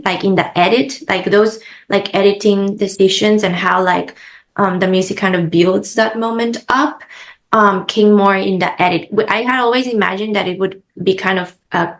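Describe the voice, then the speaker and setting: A woman filmmaker answering interview questions in a halting way, with frequent "um" and "uh" pauses. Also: mid-range voice. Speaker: filmmaker guest.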